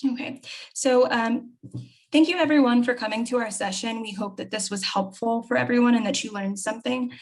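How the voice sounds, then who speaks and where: A woman's voice: on the high side, fairly even intonation, a little fast. A young woman presenter closing an online conference session over a video call, with frequent ums. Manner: hesitant, many ums. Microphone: laptop mic on a video call.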